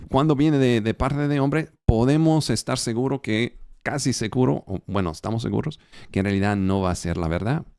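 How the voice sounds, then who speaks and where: A man preaching a sermon in a language that is not his first, halting, with fillers and restarts. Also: medium pitch, gravelly, a little mellow, no echo, clean studio sound. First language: not Spanish. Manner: halting, with fillers and restarts as a non-native speaker.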